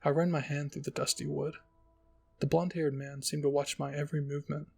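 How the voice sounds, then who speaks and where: A man's voice: fairly high pitch, little pitch movement, fairly quick, gravelly, husky. A man narrating a first-person fan-fiction story as a roleplay reading. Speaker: story reader.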